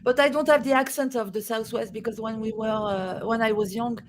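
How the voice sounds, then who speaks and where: French woman, fairly high voice, hesitant speaker chatting casually, faint background noise. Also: fairly even intonation, fairly quick. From France. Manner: hesitant, many fillers and restarts. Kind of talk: casual group chat.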